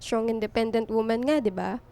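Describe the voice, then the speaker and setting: A woman's voice: a little high, normal speed, warm tone, faint music behind, natural voice. A woman playing a strict, hardworking young career woman and girlfriend in a Filipino radio drama, with a Filipino accent. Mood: soft, affectionate.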